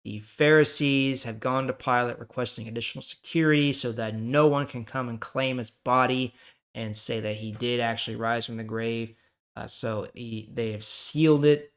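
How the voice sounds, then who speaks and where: A man hosting a Bible reading series, speaking conversationally with dramatic pauses and emphasis as he reads and comments. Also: mid-range voice, fairly even intonation, a bit bright, smooth. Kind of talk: casual devotional reading and commentary.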